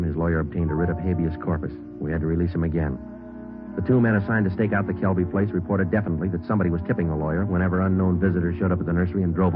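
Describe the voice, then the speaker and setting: A man's voice: low-pitched, close to an everyday voice. An actor playing a terse, businesslike male police sergeant in an old-time radio drama, clipped and matter-of-fact. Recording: narrow-band vintage radio recording with music.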